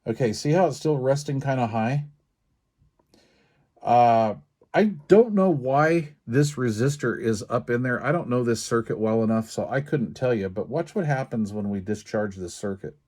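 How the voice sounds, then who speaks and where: A man casually talking through a hands-on repair demonstration, informal and folksy, thinking aloud in a halting way. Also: mid-range voice, expressive, a little mellow. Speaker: radio repair technician and video host. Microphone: handheld camera while working.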